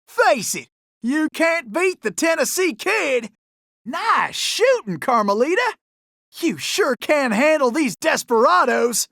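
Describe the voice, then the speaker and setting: Casting: young gunslinger outlaw for a video game. Very high, animated voice, Old West Southern drawl, boastful and gallant. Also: male voice, creaky, no echo, clean studio sound, heavily acted. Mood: cocky, taunting, then admiring, excited. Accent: American Old West Southern drawl. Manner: folksy, exclamatory, boastful cowboy bravado.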